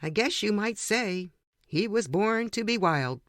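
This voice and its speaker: Higher-voiced man reading a story. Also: expressive, measured pace, very noisy. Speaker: reader of short stories for a podcast. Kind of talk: scripted narration, reading a humorous personal story aloud.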